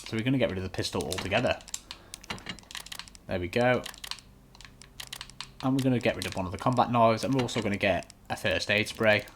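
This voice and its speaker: Lowish-voiced man giving casual game commentary. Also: brisk, pauses often, fairly bright tone, a little hiss or hum. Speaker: gaming channel host.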